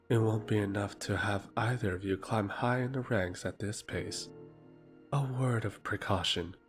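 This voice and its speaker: Guy doing a sly condescending lord in a fantasy audio play, talks real slow, music behind him. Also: on the low side, natural voice. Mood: smooth warning. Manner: formal, insinuating, patronizing. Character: sly, menacing nobleman and emissary, condescending and silky. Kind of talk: fantasy audio drama acting. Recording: music under the voice.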